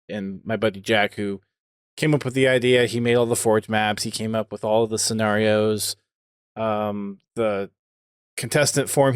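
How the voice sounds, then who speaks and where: A man's voice: lowish voice, no echo, clean studio sound. A man hosting a podcast, speaking in a casual conversational way. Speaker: podcast host and video producer.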